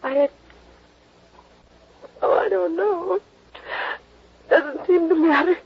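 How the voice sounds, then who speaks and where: An actress playing a dazed, frightened young woman in a 1950s radio drama, halting and trailing off, on a dull old broadcast recording. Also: extremely high pitch, vocal fry, exaggerated voice. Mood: dazed, shaken.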